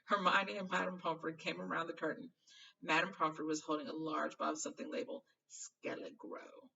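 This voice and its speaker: A woman with a low, bright voice narrates a children's novel expressively. She now and then trips on a word and fixes it, and the recording sounds somewhat distant. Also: a little fast, creaky, lo-fi sound. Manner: expressive, stumbles over words and corrects herself. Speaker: storybook reader. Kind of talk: reading a children's novel aloud.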